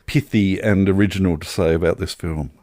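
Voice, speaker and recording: A man's voice: sits low, steady tone, brisk, dark tone, no room sound, studio quality. An Australian man co-hosting a podcast, chatting casually about film in a thoughtful, searching way.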